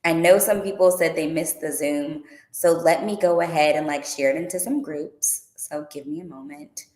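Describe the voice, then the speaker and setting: A woman's voice: low voice, fairly even intonation, normal speed, a little mellow. A woman hosting a casual livestream Q and A about her tours, chatty and upbeat, with frequent fillers. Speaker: tour organizer and boutique owner. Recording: echoey room.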